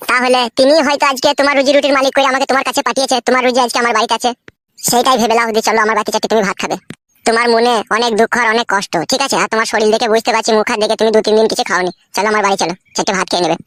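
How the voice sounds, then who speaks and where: A man's voice: very high voice, little pitch movement, racing, sharp tone, dry recording, clean studio sound. A man voicing a generous, inquisitive village householder in a comic cartoon, insistent and chatty. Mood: sympathetic, insistent. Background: music.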